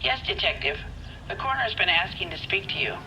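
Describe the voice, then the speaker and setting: An actress playing a helpful, efficient 1940s police telephone operator in a detective game. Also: female voice, mid-range voice, very animated, fairly quick, noisy background. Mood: obliging. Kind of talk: video game acting, 1940s detective drama.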